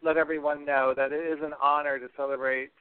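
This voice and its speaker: Higher-voiced man hosting, thin phone-like sound. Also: flat delivery, fast, smooth, no echo. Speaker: podcast host. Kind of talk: conversational hosting.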